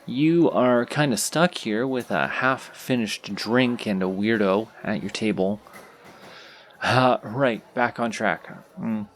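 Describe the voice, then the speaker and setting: A man playing a shy, anxious, sweet young stranger in an audio roleplay, talking hesitantly and self-consciously in a creaky voice straight to the listener. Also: lowish voice. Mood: anxious, apologetic. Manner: hesitant, self-conscious, rambling, halting speech.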